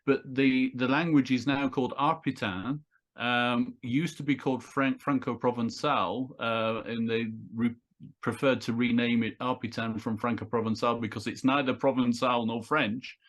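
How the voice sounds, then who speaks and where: A man's voice: medium pitch, steady tone, moderate pace. Wry man from Lancaster, explaining at length. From Lancaster, England. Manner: discursive, wry asides. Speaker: author and host of a book launch. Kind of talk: casual discussion.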